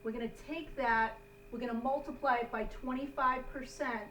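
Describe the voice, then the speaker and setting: A woman's voice: sits high, steady tone, moderate pace, a bit bright. A woman instructor giving a step-by-step classroom lesson in an instructive way, often checking in with short tags. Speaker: accounting instructor. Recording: roomy, distant mic, faint hum.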